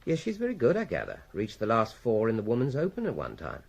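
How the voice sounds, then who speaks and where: An actor playing a British police inspector in a radio drama, questioning people in an understated, dryly witty way. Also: male voice, medium pitch, huge pitch swings, quick pace, creaky, husky, full character voice. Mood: dry, probing. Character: dry, probing male police inspector on a murder case.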